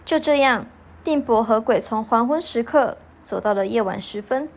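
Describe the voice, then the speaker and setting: A teenage girl reads scripted narration for an amateur radio drama. Her voice is on the high side, telling the story between the acted scenes. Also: moderate pace.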